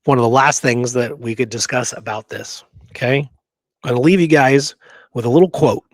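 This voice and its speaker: A man co-hosting a history show in casual discussion, talking informally and haltingly with frequent asides. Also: mid-range voice, no room sound. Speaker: co-host of a history talk show.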